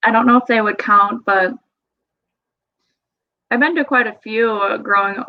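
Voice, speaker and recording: A woman's voice: a little high, moderate pace. A young woman from Wisconsin with a Wisconsin accent, chatting as a podcast guest, giggly and full of fillers. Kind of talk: casual interview chat. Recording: dull lo-fi sound.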